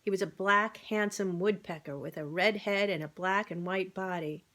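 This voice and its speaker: A woman's voice: medium pitch, normal speed, fairly bright tone. A woman casually reading a children's book aloud as narrator, with informal asides. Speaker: amateur storybook reader.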